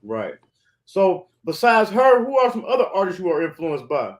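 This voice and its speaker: Male host, high-pitched, laid-back and casual with his questions. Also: very animated, normal speed. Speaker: interview host. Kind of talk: casual interview.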